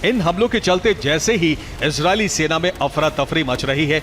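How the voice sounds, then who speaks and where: A man's voice: a little high, animated, moderate pace, very noisy. A man reading a dramatic scripted news voice-over in an emphatic, rhetorical style. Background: prominent music.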